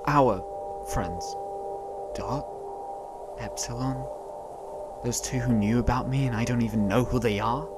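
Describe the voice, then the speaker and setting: A man's voice: mid-range voice, unhurried, long pauses, very noisy, close to an everyday voice. A man playing a remorseful, weary but protective detective and team leader in a fan audio drama. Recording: loud music over the voice.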